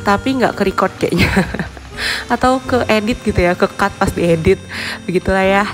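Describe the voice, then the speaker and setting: Stuffy-nosed, hoarse young woman vlogger. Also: medium pitch, moderate pace, rough recording. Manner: chatty, friendly, with little laughs and fillers. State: stuffy nose and hoarse from a cold. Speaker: vlogger, homemaker. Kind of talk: casual voice-over narration. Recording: voice-over with clear background music.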